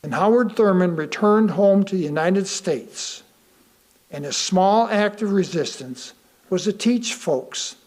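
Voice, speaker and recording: A man reading a sermon aloud from a script, deliberately and with emphatic pauses. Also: high-pitched, expressive, a little mellow. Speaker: lay reader of a sermon.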